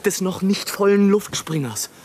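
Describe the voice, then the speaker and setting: A man's voice: sits high, animated, measured pace, darkish tone, slight background noise. An actor playing a fervent airship adventurer in a radio play, declaiming his flight in poetic, theatrical phrases. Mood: frantic exaltation.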